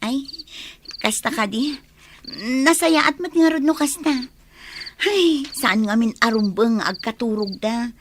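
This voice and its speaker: Actress as fretful young Ilocano woman, high-pitched. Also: a little slow, pauses often, noisy background, natural voice. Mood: alarmed, defensive. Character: anxious, jealous young woman, secretly smitten friend. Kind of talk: Ilocano radio drama acting.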